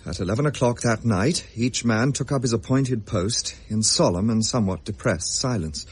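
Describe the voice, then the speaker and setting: An actor playing a gentleman narrator who recounts his own ghost story in measured, formal period storytelling, with a husky voice. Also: fairly low pitch. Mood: grave, measured.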